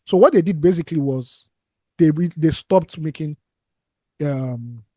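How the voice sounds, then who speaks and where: A man's voice: fairly high pitch, measured pace, dark tone, no echo, studio quality. A man, co-hosting a casual podcast, rambling and restarting, often trailing off to a mutter. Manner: discursive, restarts, drops to a mutter at phrase ends. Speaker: podcast co-host.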